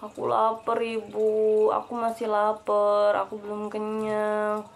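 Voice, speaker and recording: A woman's voice: mid-range voice, monotone, slow pace, creaky, voice pushed up. A woman reading aloud, voicing a whiny, hungry little bear cub in a children's storybook. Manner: whining, pleading.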